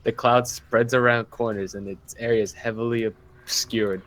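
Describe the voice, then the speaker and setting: A man's voice: mid-range voice, little pitch movement, normal speed, fairly bright tone, poor audio. A man taking part in a tabletop roleplaying game as a player, chatting casually and playfully.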